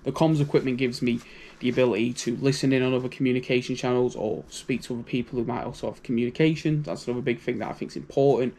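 British guy rambling through his kit, casual, mid pitch, lots of "you know". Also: steady tone, fast, a little mellow. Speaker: prepping channel host. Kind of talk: casual gear walkthrough.

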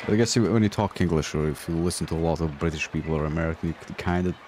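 A man from Bosnia streaming a strategy game, chatting with viewers in a relaxed, rambling way and swearing casually. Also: low voice, rapid-fire, a little hiss or hum. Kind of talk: casual gameplay commentary with chat. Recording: voice over loud game music.

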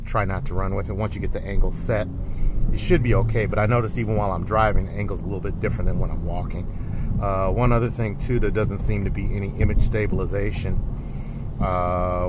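A man giving a casual, rambling spoken review from inside his car while he drives, with many ums and uhs. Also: lowish voice. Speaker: vlogger reviewing camera glasses. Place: inside a car, driving in rain. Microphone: built into camera glasses he wears. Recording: loud car noise from glasses-mounted camera. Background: car and road noise.

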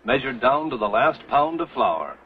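An actor playing a stern, devout pioneer church elder who speaks in a solemn, firm way. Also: male voice, mid-range voice, sing-song, normal speed, close to an everyday voice. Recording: dull old TV soundtrack with faint hiss.

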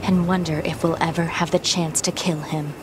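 A woman's voice: low voice, little pitch movement, moderate pace, heavy background noise, natural voice. A woman playing a stern young female android soldier in a game, guarded but passionate, with dramatic delivery. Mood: cool, brooding. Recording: game music behind.

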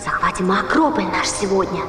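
A woman's voice: mid-range voice, animated, brisk, a bit bright, close to an everyday voice. Bold ancient Greek heroine, acted by a woman, echoey hall. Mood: scheming. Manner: declamatory, rhetorical. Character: bold persuasive ancient Greek woman leading a women's revolt. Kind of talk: theatrical verse declamation from a film. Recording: echoey hall sound with music behind.

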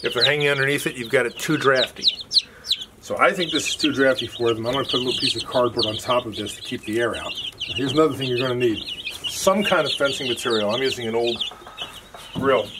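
A man's voice: medium pitch, fairly quick. A man speaking casually and plainly as a farmer, explaining things off the cuff in a folksy way outdoors. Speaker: farmer presenting how-to video. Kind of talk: casual unscripted demonstration. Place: outdoors on a farm, concrete patio and pens. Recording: noisy farm recording with chirping birds. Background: loud bird chirps, clucking, clicks.

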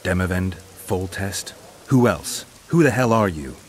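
An actor playing a stoic, gruff monster-hunting swordsman in a video game, terse and dry, with a gravelly voice. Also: low-pitched, expressive, measured pace, slight background noise. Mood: terse, demanding.

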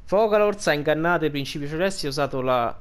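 A man with a central Italian, Roman accent giving casual, colloquial game commentary, thinking aloud in halting phrases. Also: fairly high pitch, expressive, slight background noise. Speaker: gaming streamer. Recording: background game music. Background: game music.

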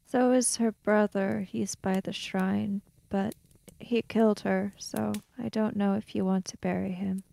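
A woman's voice: medium pitch, steady tone, a little slow, creaky, warm tone, no room sound. A woman playing a tabletop role-playing game, speaking in character in a hesitant, subdued way.

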